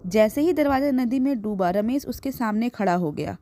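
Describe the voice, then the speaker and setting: A woman's voice: on the high side, lively intonation, moderate pace, mellow, slight background noise. A woman reading scripted narration for a children's moral story. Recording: music behind the voice.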